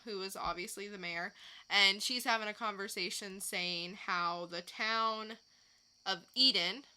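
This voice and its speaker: A woman's voice: medium pitch, bright, forceful tone, clear, resonant tone. A woman co-hosting a podcast, giving a casual, unscripted recap and piecing it together as she goes. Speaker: podcast co-host reviewing comics.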